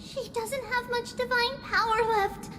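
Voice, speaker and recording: A woman voicing a tiny, sweet, earnest childlike girl demigod in a dubbed anime game, with a squeaky voice. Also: steady tone, unhurried, background noise. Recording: game music behind.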